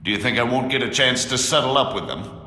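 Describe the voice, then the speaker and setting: A man's voice: medium pitch, fast talker, sharp tone, slight background noise, natural voice. An actor playing a shrewd, sardonic outlaw nobleman, a medieval villain in a video game, with a theatrical delivery. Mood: defiant, resigned. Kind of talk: video game cutscene acting. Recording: very echoey hall-like reverb.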